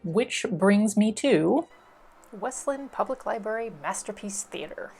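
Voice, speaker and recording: A woman's voice: mid-range voice, animated, measured pace, a little mellow, soft background music. A woman library presenter giving scripted instructional narration, explaining things step by step.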